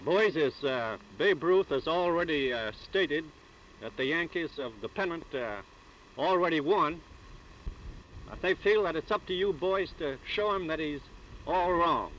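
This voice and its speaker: An American man speaking as a baseball coach in a halting, plain-spoken, informal way on 1930s newsreel sound. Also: on the high side, expressive, a bit bright, lo-fi sound, slight background noise. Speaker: baseball club figure, coach. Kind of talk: informal pep talk and demonstration for camera.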